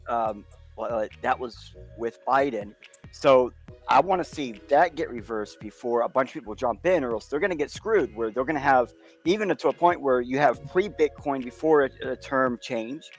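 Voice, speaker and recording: Man earnestly explaining at length. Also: medium pitch. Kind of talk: casual chat.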